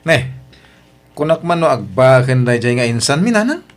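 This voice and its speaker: A man's voice: mid-range voice, sing-song, normal speed, natural voice. A man with an Ilocano accent acting a brash, scoffing, gossipy husband in a family radio drama, exclaiming mockingly. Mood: scoffing, surprised.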